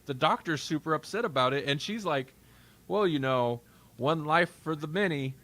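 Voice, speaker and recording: A man's voice: fairly high pitch, expressive, moderate pace, sharp tone. A man co-hosting a podcast, talking casually in a rambling, self-interrupting way. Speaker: podcast co-host. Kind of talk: casual review chat.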